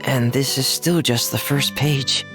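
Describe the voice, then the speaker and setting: A reserved young character with a very low, creaky voice. The delivery is slow, gentle and slightly awkward, in understated game dialogue. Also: female voice, steady tone, loud music behind the voice. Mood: calm. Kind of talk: animated video game dialogue.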